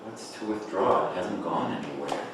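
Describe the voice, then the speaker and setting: A man's voice: low voice, expressive, normal speed. A man playing a wounded, defiant writer who accuses his friend, in a table read of a stage play. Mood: hurt, challenging. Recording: echoey room, distant mic, faint noise.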